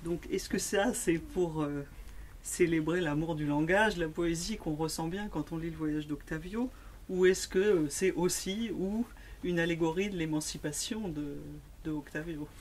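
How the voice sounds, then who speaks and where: Hesitant bookshop interviewer, mid-pitched. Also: normal speed, slight background noise. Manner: reads passages aloud, hesitant with fillers. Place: bookshop event.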